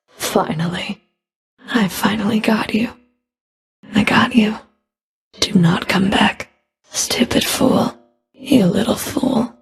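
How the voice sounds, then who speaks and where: Game villain: a sadistic female Fury, cold and contemptuous, unhurried delivery with a creaky, breathy edge. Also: medium pitch, heavily acted. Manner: deliberate, menacing, contemptuous taunting. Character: menacing female Fury of the underworld, cold, scornful, sadistic whip-wielder. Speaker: video game villain voice actor. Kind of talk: video game combat voice lines.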